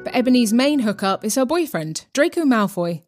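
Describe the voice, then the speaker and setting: Higher-voiced woman narrating over music. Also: very animated, normal speed, darkish tone. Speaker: podcast presenter. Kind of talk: scripted comic narration.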